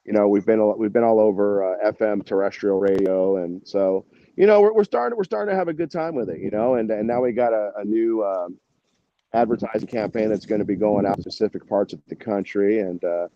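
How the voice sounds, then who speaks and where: A man's voice: fairly low pitch, a little fast, dark tone, highs cut off, slight background noise. An American man chatting casually as an interview guest, in a rambling, informal way. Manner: rambling, informal, peppered with "you know" and "man". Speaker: band musician, interview guest.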